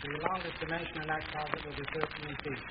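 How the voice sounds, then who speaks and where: Precise higher-voiced man on old radio. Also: level tone, quick pace. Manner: precise, matter-of-fact. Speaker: quiz contestant. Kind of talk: explanatory answers on a radio quiz. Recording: noisy lo-fi vintage radio broadcast.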